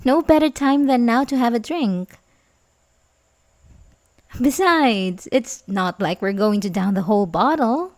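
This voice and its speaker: A woman plays a young babysitter in an intimate ASMR roleplay, sweet on the surface and quietly obsessive underneath. Her voice is on the high side and she takes her time, halting and giggling softly as she coaxes the listener. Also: animated. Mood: coaxing, playful. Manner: hesitant, halting, with little giggles. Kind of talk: ASMR roleplay acting, intimate one-sided dialogue.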